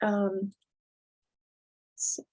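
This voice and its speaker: A woman reading a children's storybook as a dramatic reading, with deliberate, theatrical emphasis. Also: mellow, poor audio. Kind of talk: expressive dramatic storybook reading with casual asides.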